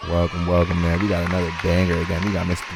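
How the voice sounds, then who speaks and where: A man's voice: deep voice, completely flat delivery, very fast, a loud crowd, very noisy. A young man hosting a casual reaction video, greeting his chat in laid-back slang. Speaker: reaction channel host.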